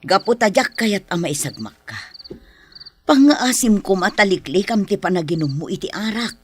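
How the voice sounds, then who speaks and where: A woman's voice: mid-range voice, very animated, normal speed, a little hiss or hum, natural voice. An actress playing an exasperated farm wife and mother in a Philippine radio drama, scolding and pleading with her husband.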